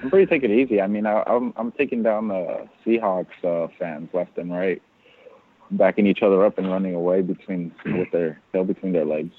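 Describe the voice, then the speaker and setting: A man's voice: low voice, fairly even intonation, normal speed. A man chatting casually as a podcast guest, joking and trailing off mid-thought. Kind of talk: casual chat. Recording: phone-like line.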